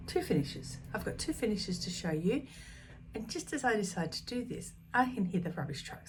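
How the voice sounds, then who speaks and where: A woman in her fifties chatting casually to camera about her stitching, scattered and full of ums, her voice slightly croaky. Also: mid-range voice, a little hiss or hum. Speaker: cross stitch vlogger. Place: small room at home.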